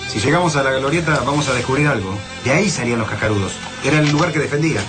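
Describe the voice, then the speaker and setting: Eager, fast-talking Argentine resistance comrade, acted by a man. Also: medium pitch, expressive, natural voice. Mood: keen, curious. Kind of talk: radio drama acting. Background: loud music.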